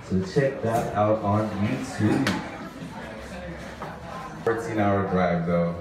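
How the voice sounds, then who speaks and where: A man's voice: lowish voice, slow pace. A young man talking casually to a small cafe audience into a handheld mic between songs, loose and chatty. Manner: loose, chatty, addresses the crowd as y'all. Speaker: rapper performing live. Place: small indoor cafe stage. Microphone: handheld mic, filmed from a distant camera. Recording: echoey room, distant camera, loud backing beat.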